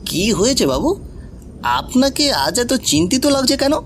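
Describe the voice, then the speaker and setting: A man's voice: very high-pitched, very animated, moderate pace, fairly bright tone, slight background noise, full character voice. A man playing a curious, wary village tea seller in a horror story, with a rural Bengali accent and folksy, coaxing manner. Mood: concerned. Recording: music bed behind voice.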